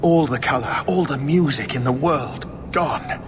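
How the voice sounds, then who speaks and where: A man's voice: mid-range voice, unhurried, background noise, close to an everyday voice. Man playing a subdued, broken captive mage. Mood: anguished, resigned. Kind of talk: dramatic video game voice acting. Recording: music behind the voice.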